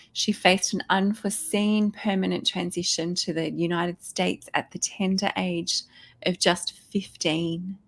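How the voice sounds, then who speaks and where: Upbeat low-voiced woman host. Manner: upbeat, effusive. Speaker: podcast host. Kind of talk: host interview, scripted intro.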